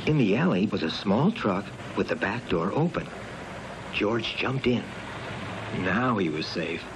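A man reading a scripted children's storybook narration in an expressive storytelling manner. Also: lowish voice, a little slow, noisy background. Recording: muffled old cartoon soundtrack, background music.

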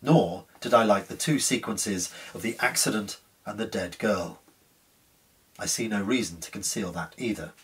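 A man in his fifties reading literary fiction aloud in an earnest, expressive, measured way. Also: fairly low pitch, husky. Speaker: actor reading a short story. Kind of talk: dramatic literary narration. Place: small living room at home. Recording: slightly distant room microphone.

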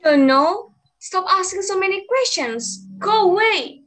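A woman's voice: extremely high pitch, lively intonation, measured pace, a bit bright, heavily acted. A young woman playing an irritable baboon who brusquely brushes off questions in a readers theater. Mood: irritated. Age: young adult. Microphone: video call microphone.

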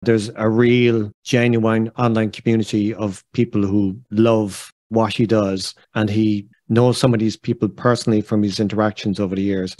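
A man hosts a podcast in a conversational manner. His voice is a little low, and the recording is very dry, with no room sound. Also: level tone, moderate pace, few pauses, a little mellow, dry recording. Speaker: podcast host.

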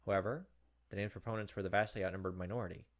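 A man's voice: lowish voice, steady tone, rapid-fire. A man in his thirties narrating a visual novel aloud as a let's play host. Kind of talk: narrated let's play, dramatic reading with casual asides. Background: soft game music.

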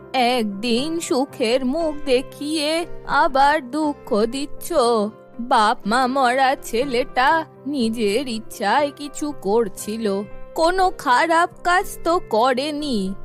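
Devout elderly grandmother played by a woman, high-pitched, imploring, slow cartoon melodrama over soft music. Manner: lamenting, imploring. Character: anguished, devout old grandmother pleading for her grandson.

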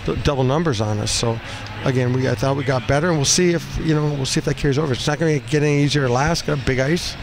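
A man in his fifties, a hockey coach speaking off the cuff in a postgame interview, blunt and halting, often repeating phrases. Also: medium pitch, gravelly. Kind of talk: postgame interview, unscripted talk. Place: small cinder-block room indoors at a rink. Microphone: headset boom mic. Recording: noisy arena room, steady background din.

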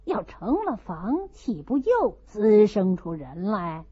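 A deferential male steward voiced by a woman narrator in a storybook. He advises earnestly at a slow, measured pace. The recording is slightly dull. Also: medium pitch, very animated, slightly rough, voice pushed down, full character voice. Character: Lin Zhixiao, cautious, deferential male household steward. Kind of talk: audiobook character reading of a classical novel. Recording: dull lo-fi audio.

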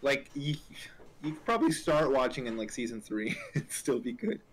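A man's voice: mid-range voice, sing-song, normal speed, low-quality recording. A man chatting casually and playfully as a friend who has called in. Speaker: friend calling in. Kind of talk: casual chat.

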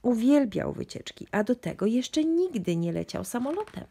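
A woman's voice: fairly high pitch, sing-song, moderate pace, creaky, dark tone, husky. A woman narrating a bedtime storybook expressively for young children.

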